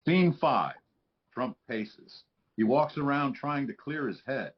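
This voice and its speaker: A man with a medium-pitched voice narrates stage directions from a script. It is table-read narration, describing action plainly. The recording is somewhat muffled and lo-fi. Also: animated, slow pace.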